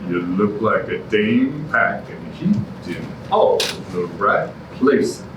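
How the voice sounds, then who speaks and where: A flirtatious tough-guy detective played by a man in a stage comedy, drawling slowly through slangy noir patter. The pitch swings widely, and the voice sits far from the mic over clear noise. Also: lively intonation. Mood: flirtatious swagger. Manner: slangy hard-boiled patter with purple similes. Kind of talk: staged reading of a musical comedy. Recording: roomy, distant, noisy live stage recording.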